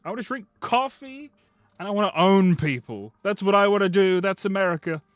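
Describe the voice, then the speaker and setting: A man putting on the voice of a brash young American man, loud and mocking. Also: high-pitched, a little fast, no echo, studio quality, pitched above the performer's own voice, natural voice.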